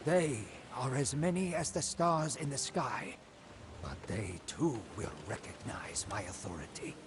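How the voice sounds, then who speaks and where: A man's voice: medium pitch, lively intonation, noisy background, lightly acted. An actor playing an ambitious, scheming old prime minister, solemnly and theatrically narrating his life for a storybook game campaign. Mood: proud, scheming. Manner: solemn, theatrical, deliberate, with hushed line endings.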